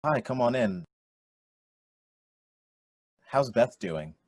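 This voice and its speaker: A man acting an earnest, supportive young friend in a modern radio play, with a husky voice. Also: medium pitch, lively intonation, very slow, darkish tone. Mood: earnest, concerned.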